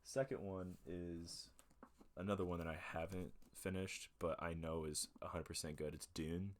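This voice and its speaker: Laid-back young man with a low voice. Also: level tone, moderate pace. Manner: laid-back, rambling, lots of fillers. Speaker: host of a music and talk show. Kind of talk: casual rambling book review.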